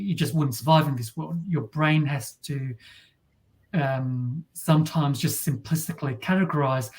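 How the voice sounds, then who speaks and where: A woman from Australia with a deep voice, talking as an interview guest in a wry, halting way with many restarts. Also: darkish tone. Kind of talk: casual interview talk.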